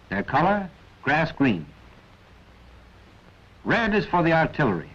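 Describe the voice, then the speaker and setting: A man's voice: mid-range voice, breathy edge. A man narrating a 1940s military instructional film in a scripted, didactic way with wry asides, on a dull vintage soundtrack.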